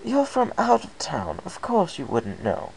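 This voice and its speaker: Narrator doing a refined girl pony, high pitched, genteel n caring, storybook read, bit of noise. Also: huge pitch swings, measured pace, creaky, a little mellow, heavily acted, poor audio. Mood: apologetic.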